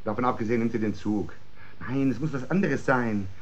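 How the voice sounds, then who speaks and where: A man's voice: mid-range voice, brisk, lo-fi sound, a crowd in the background, lightly acted. An actor playing an irritable, pressing husband who schemes against his wife, in a naturalistic German radio drama. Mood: frustrated, tense.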